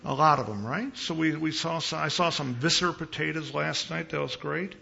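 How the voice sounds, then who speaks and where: A man from Chicago preaching as a visiting pastor in a church, with a big voice and an unhurried, conversational manner, pausing and repeating phrases for emphasis. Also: on the high side, creaky, bright, forceful tone, lo-fi sound. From Chicago, United States. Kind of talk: conversational sermon. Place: church sanctuary.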